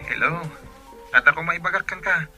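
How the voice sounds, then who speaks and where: A young Ilocano Filipino boyfriend in a radio play, with music behind him. His voice is very bright and forward. He talks casually and coaxingly, always slightly evasive. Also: on the high side, moderate pace. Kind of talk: Ilocano radio drama acting.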